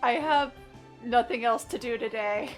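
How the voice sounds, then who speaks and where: A woman with a high voice gives playful gameplay commentary at an unhurried pace. She is casual and joking, with faint game music behind her. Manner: joking, voices mock quotes. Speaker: gaming commentator.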